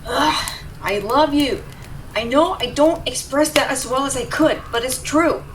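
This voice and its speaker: A young woman voice actor playing a gruff but devoted tsundere rescuer in an intimate, emotional audio roleplay. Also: sits high, measured pace. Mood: exasperated, loving. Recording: distant mic, clear background noise ambience.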